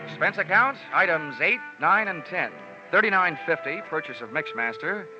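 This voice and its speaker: An actor playing a wry, wisecracking insurance investigator narrating in 1940s radio detective style. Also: male voice, on the high side, expressive, normal speed, close to an everyday voice. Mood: dry, wry. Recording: dull old radio recording with music.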